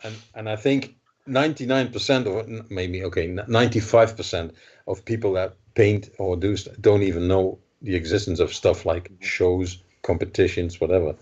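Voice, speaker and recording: A man's voice: deep voice, steady tone, normal speed, a little mellow. A man from the Netherlands, a model show organizer, talking in a casual podcast chat, hesitant with many restarts. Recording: dull lo-fi remote audio.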